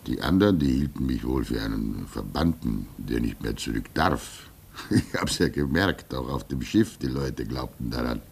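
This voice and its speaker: A man in his sixties playing a weary, pious old keeper at a polar station in a radio play, speaking wistfully with soft laughs. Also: a bass voice, lively intonation, normal speed, vocal fry, a little hiss or hum, heavily acted. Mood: reflective. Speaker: actor.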